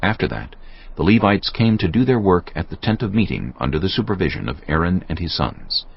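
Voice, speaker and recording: Very deep gravelly man narrating scripture. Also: very animated, brisk. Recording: dull lo-fi audio under loud music. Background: music.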